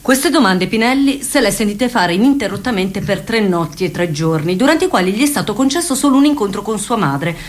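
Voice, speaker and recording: A woman's voice: medium pitch, expressive, brisk, few pauses. A woman narrating satirical radio theatre with a dramatic, mock-official delivery. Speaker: actress and narrator.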